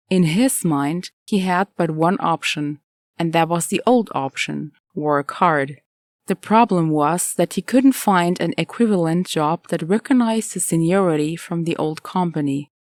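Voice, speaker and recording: A woman reading scripted nonfiction as an audiobook narrator, in measured, clearly phrased delivery. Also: on the low side, a little mellow, no room sound.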